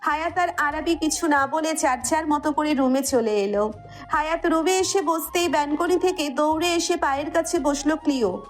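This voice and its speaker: High-pitched Bangladeshi woman narrating a love story. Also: moderate pace, faint music behind. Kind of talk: scripted audio-story narration.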